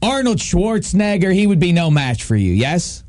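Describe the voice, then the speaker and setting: High-pitched, very animated male co-host. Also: normal speed, no room sound, clean studio sound. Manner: mock-earnest, joking flattery. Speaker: talk show co-host. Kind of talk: casual comedic chat.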